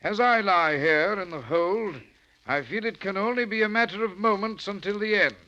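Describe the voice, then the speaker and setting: A man's voice: high-pitched, moderate pace, bright, forceful tone. A man playing a reserved, authoritative British destroyer captain, speaking formally and measuredly in 1940s radio drama style. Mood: grave, reading. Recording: dull vintage radio recording.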